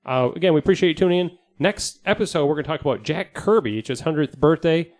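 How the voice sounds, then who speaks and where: A man co-hosting a podcast in casual chat, enthusiastic and sweary, with halting phrasing. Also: a little high, animated, a little mellow. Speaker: podcast host.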